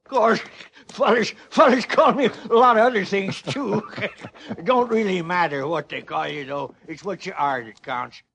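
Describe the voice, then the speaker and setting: An actor playing a folksy, friendly old prospector with a rambling American drawl and gravelly voice in a radio western. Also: male voice, sits high, moderate pace, fairly bright tone. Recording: noisy vintage radio recording.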